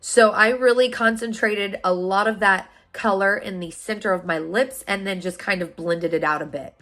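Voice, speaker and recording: Hoarse, stuffy-voiced woman chatting to viewers. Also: medium pitch, steady tone, normal speed, a bit bright. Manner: upbeat, chatty, addresses viewers directly. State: hoarse and stuffy from a sinus infection. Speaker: beauty vlogger. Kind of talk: product unboxing review.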